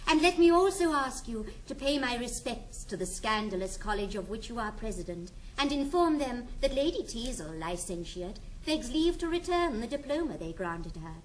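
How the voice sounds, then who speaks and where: Young society wife, British, rather high voice, tart, spirited, stage comedy. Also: female voice, big pitch swings, moderate pace, few pauses, poor audio. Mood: cutting. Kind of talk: 1960s classical stage comedy acting. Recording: 1960s studio record, faint hiss.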